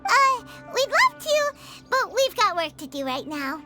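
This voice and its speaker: A tiny, excitable fairy companion from a video game. The voice is extremely high and childlike, leaping around with chirpy energy. Prominent music plays underneath. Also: female voice, a little slow, full character voice. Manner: refers to self in third person, chirpy. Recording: music behind the voice.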